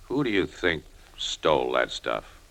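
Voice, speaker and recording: A hard-nosed but decent press photographer in a vintage radio drama. His voice is very low yet forceful and bright, and he talks bluntly in firm, skeptical slang. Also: sing-song, a little slow, creaky, full character voice. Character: tough, decent, skeptical newspaper photographer, a man. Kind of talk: 1950s radio drama acting.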